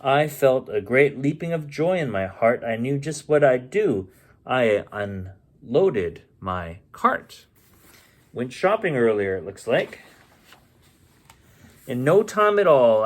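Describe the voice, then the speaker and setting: A man reads a rhyming children's storybook aloud at a very unhurried pace. His mid-range voice rises and falls with lively expression, and he sometimes trips on a word and corrects it. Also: mid-range voice. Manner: unhurried, stumbles and corrects himself. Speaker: bedtime story reader and host.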